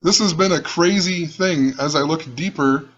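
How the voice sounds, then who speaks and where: A man with a rough voice, rambling and musing as he talks through his own video channel. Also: fairly high pitch, normal speed, fairly bright tone, muffled recording. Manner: musing, rambling, repeats himself. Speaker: channel host. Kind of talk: casual screen-share commentary.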